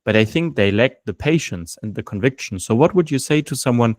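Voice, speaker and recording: A man's voice: mid-range voice, lively intonation, fairly quick, no room sound, studio quality. A man hosting a podcast interview, who gives short acknowledgements and asks long, winding questions. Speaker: podcast host.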